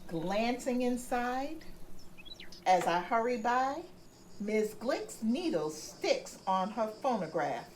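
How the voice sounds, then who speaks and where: A woman reading a picture book aloud as a bedtime story for children, speaking gently. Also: fairly high pitch, sing-song, takes it slowly, slight background noise, low-quality recording. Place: home room with plants on the table.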